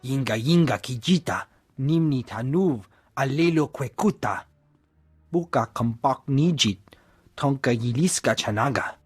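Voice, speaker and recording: An actor playing a male alien in a video game, speaking an invented language in a husky voice. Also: mid-range voice, lively intonation, measured pace, no room sound, faint music behind. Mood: insistent.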